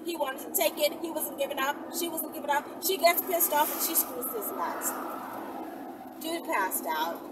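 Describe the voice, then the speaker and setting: A high-voiced woman in her thirties chats like a vlogger telling stories, blunt and slangy. Her pitch swings widely as she goes. She is recorded inside a moving car with loud road noise around her. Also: lively intonation, moderate pace. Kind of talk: casual storytelling chat to camera. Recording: distant mic, loud vehicle noise. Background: loud road and vehicle noise.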